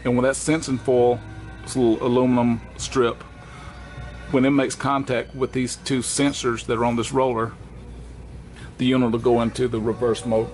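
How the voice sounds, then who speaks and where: A man presenting an unscripted hands-on equipment walkthrough in a plainspoken, halting way, correcting himself as he goes. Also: medium pitch, flat delivery, a little mellow, faint music behind.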